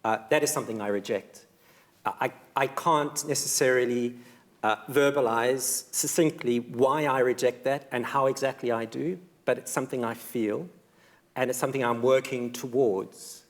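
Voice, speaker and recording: A man's voice: medium pitch, fairly even intonation, moderate pace. A man reflecting as a panellist, searching for words and using fairly formal vocabulary.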